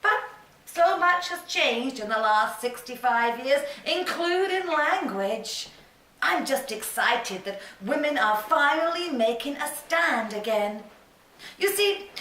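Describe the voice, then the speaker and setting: A spirited older suffragist, played by a woman, looks back on her fight in an unhurried, chatty monologue. Her voice sits high and she laughs easily between thoughts. The sound is roomy and heard from some distance off the mic. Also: a bit bright. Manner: chatty, reminiscing, laughs often. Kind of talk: theatrical monologue in character.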